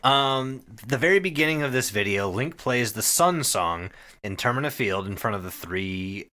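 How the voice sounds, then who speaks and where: A man hosting a podcast, giving a casual, profanity-laced story recap to his co-hosts in an animated way. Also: mid-range voice, moderate pace. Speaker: podcast host.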